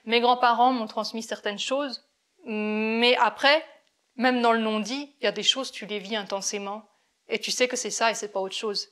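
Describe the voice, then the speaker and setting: A woman born in France, an interview guest, talking about her life slowly and reflectively, with many hesitations and restarts. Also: on the high side, fairly even intonation, fairly bright tone. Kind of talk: reflective personal testimony.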